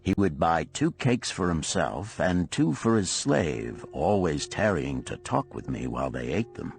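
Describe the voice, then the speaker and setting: A man's voice: low voice, very animated, unhurried, creaky, faint music behind. A man reading a story aloud as scripted audiobook narration.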